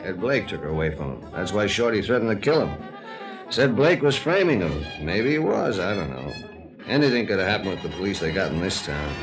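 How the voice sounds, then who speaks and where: A boozy, disillusioned reporter from old radio noir. His voice is fairly low, and he lets the words drag with cynical, lovelorn weariness. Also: very animated, over music. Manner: boozy, world-weary, drawn-out. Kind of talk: 1950s radio detective drama acting.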